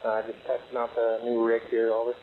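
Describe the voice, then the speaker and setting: A man's voice arrives over a narrow radio channel with clear static. He speaks with little pitch movement, in short casual transmissions as a newcomer to ham radio. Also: completely flat delivery, a little fast. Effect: received over shortwave radio. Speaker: beginner amateur radio operator. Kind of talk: brief casual radio chat.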